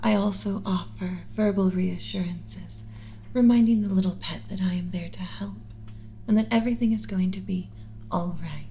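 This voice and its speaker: A woman voicing a gentle, motherly alien scientist in an ASMR roleplay, speaking in a soothing, measured, instructional, often whispery way. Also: mid-range voice, fairly even intonation, a little hiss or hum, natural voice. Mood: tender, reassuring. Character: gentle motherly alien scientist teaching a class about pet human.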